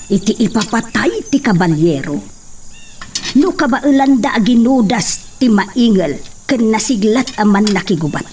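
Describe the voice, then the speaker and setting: An anxious wife and mother in a radio drama. She is questioning and plain-spoken, heard a little way from the mic. Faint insect chirping runs behind her mid-pitched voice. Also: darkish tone, distant mic, slight background noise.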